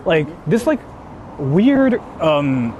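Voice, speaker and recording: A man's voice: a little high, sing-song, extremely slow, dull audio, a crowd in the background. A man livestreaming casually, reading out viewer comments and answering them in a rambling, self-questioning, sweary way. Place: outdoors on an urban street, walking. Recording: clearly noisy outdoor city street stream.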